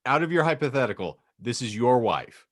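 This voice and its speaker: A man's voice: medium pitch, sing-song, brisk, dry recording, studio quality. A man hosting a casual group podcast, speaking in a wordy, theatrical way. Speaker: podcast host. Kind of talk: casual group chat with a read-out prompt.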